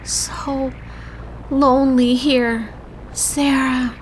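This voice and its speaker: Woman voicing a high, plaintive ghost sister. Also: flat delivery, slight background noise, rough recording. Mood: lonely, plaintive. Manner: halting, plaintive fragments. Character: ghost of a dead sister, lonely and wounded, speaking through radio static.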